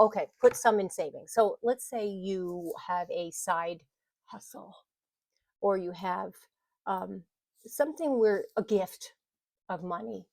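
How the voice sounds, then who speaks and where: A woman's voice: mid-range voice, a little mellow, dry recording. Chatty rambling woman vlogger, dry sound. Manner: rambling, self-correcting, laughs often. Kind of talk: casual chat to camera. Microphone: mic on the desk, bumped at times.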